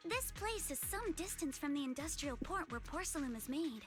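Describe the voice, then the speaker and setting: A woman's voice: high voice, expressive, moderate pace. An actress playing an observant young woman scout in a video game. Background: game music.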